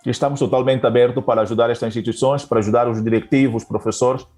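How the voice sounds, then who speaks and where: A man's voice: mid-range voice, fairly even intonation, rapid-fire, darkish tone, faint music behind. Hesitant man from Angola, teacher guest. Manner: hesitant, many fillers and restarts. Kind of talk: interview answers.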